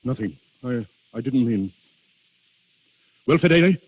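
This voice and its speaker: An actor in a 1930s radio drama playing an anxious, dutiful duke, speaking gravely and haltingly with great deference. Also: male voice, medium pitch, animated. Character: anxious, dutiful Italian duke hosting a dreadful guest. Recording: muffled, dull 1930s radio recording.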